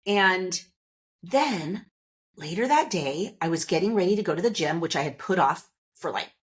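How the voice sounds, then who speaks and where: A woman's voice: fairly low pitch, lively intonation, normal speed. A woman hosting a podcast, talking to listeners in a casual, upbeat and chatty motivational monologue, seated indoors. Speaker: podcast host.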